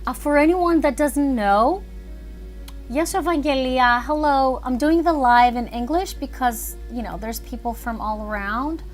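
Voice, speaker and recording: Unhurried woman chatting on a livestream. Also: sits high, faint music behind. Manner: chatty, rambling, thinking aloud. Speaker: animator and filmmaker streaming live. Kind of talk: casual livestream chat.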